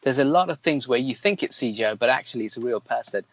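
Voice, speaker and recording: A man's voice: medium pitch, lively intonation, quick pace, lo-fi sound, a little hiss or hum. A man reviewing films in casual chat as a podcast host, with an opinionated, critical manner.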